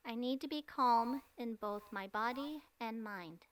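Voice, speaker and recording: A woman's voice: on the high side, a little slow, no echo, lightly acted. A woman voicing a nervous young unicorn filly, an anxious and hesitant magic student, in a dramatic fan fiction reading. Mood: steadying herself. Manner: anxious, hesitant, self-doubting.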